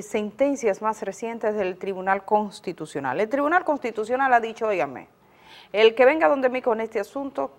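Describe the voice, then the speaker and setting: Dominican woman news host, medium pitch, chatty explainer style talking right to viewers. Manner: explanatory, addresses viewers directly. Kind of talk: conversational news commentary. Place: TV studio.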